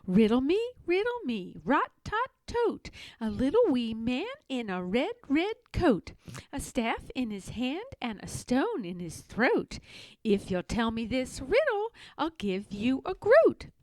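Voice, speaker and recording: A woman's voice: high-pitched, wildly swooping pitch, takes it slowly, a little mellow, dry recording, exaggerated voice. A woman voicing an impertinent young male squirrel from a storybook, chanting riddles in a teasing sing-song. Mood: cheeky, teasing. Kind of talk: storybook read-aloud character voice.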